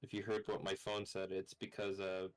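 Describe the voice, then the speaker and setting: Low bassy man teasing a friend. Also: moderate pace. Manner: teasing, deadpan joking. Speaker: friend on voice chat. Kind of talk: casual banter. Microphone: own microphone over voice chat.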